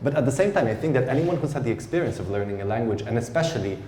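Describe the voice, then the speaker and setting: A man's voice: mid-range voice, expressive, very fast, dark tone, slight background noise. A man with an Italian accent, a writer and translator, asking questions in a reflective, hesitant way with many restarts. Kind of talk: conversational public Q&A. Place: lecture hall. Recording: echoey hall with faint ambience.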